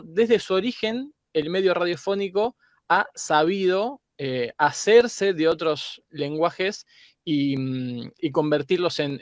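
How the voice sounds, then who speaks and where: A man with an Argentine accent speaks in a slightly high voice, recorded dry and close. He explains like a teacher on a panel, pausing and repeating small words as he searches for the right one. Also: dry recording. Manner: didactic, hesitant word-searching. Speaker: guest academic.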